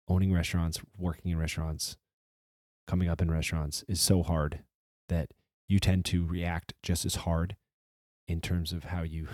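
A male podcast host from the restaurant industry, talking casually and rambling with restarts and swearing, his voice a bit hoarse as he recovers from the flu. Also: low-pitched, dark tone, no room sound, clean studio sound. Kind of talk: casual solo intro monologue.

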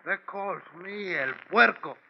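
Slow, gravelly bandit chief. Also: male voice, high voice, lively intonation, heavily acted. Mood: gloating, mocking. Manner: slow, taunting, mock-friendly. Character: greedy, mocking Mexican bandit chief, male, jovially menacing. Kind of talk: 1940s radio western acting. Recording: muffled, faintly noisy old radio.

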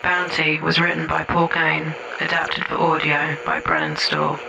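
A man reading the closing credits of an audio drama in a slow, even manner. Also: fairly high pitch, vocal fry, noisy background. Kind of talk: read credits.

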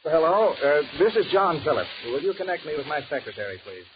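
An American actor playing a troubled, lovesick husband with halting, repetitive speech in a 1940s radio horror drama. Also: male voice, fairly high pitch, big pitch swings. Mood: curt, firm. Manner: halting, repeats himself. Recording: dull, noisy 1940s radio recording.

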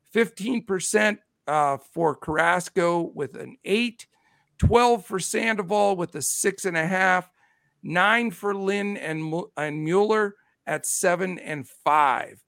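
Gravelly man losing his voice. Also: high-pitched, fairly bright tone, dry recording, clean studio sound. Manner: rattles off numbers quickly, chatty. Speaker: podcast host. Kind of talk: casual sports betting podcast talk.

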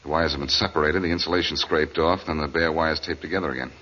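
An actor playing a cool, confident, quick-witted amateur detective in 1940s radio style, on a muffled, hissy recording. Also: male voice, rumbling low, steady tone, sharp tone. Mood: assured. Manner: confident, wry.

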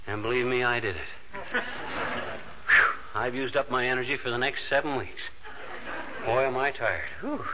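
An actor playing a folksy, boastful, worn-out handy neighbour, wisecracking and huffing in a 1940s radio comedy. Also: male voice, mid-range voice, normal speed, pauses often, natural voice. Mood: weary, self-satisfied. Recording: muffled, noisy old radio recording.

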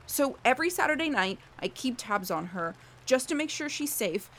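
A woman's voice: sits high, sing-song, moderate pace, a bit bright, heavily acted. An actress playing an earnest, lonely, self-deprecating young woman in an audio drama. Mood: earnest, confiding. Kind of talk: audio drama acting, confessional monologue and dialogue.

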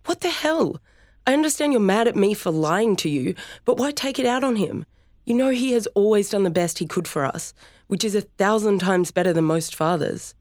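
A woman's voice: low voice, normal speed, a little mellow, dry recording. A woman reads a young woman caught between her brother and father, pleading and upset. The recording is dry and close, with nothing behind her.

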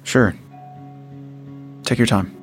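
A man's voice: extremely slow, faint music behind. A man playing a weary, protective survivor father in an audio drama, plain-spoken and earnest. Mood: quiet, caring. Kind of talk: naturalistic audio drama acting.

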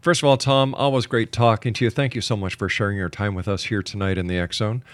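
A man's voice: fairly low pitch, steady tone, fast, no room sound. A man hosts a radio talk show. He speaks like a courteous announcer. The sound is close and dry, as from a broadcast studio. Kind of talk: talk radio hosting, interview.

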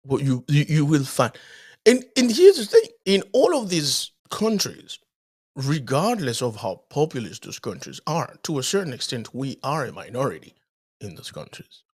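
Animated podcast man from the Dominican Republic. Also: medium pitch, moderate pace, breathy edge. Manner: animated, repeats words when starting a thought. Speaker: podcast co-host. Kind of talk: casual conversation.

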